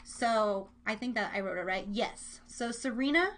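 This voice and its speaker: A woman's voice: medium pitch, normal speed, fairly bright tone, slight background noise. A woman hosting a live internet radio show, chatting casually in a playful, rambling way and repeating her phrases as she runs games.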